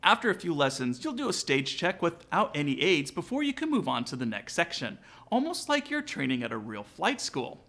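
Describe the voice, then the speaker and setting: Male vlog host for how-to videos: slightly high voice, very lively intonation, casual scripted delivery aimed straight at the viewer. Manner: casual, direct address to viewers. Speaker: aviation vlog host, student pilot. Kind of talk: upbeat scripted tutorial narration with sponsor read.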